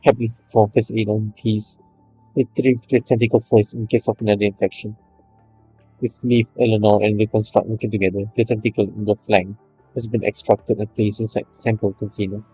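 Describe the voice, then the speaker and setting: A man's voice: lowish voice, little pitch movement, brisk, warm tone, soft background music, low-quality recording. A man reading a video game's story aloud, stumbling over some lines, with casual commentary. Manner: stumbles over read lines, re-reads phrases. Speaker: video game story narrator and player.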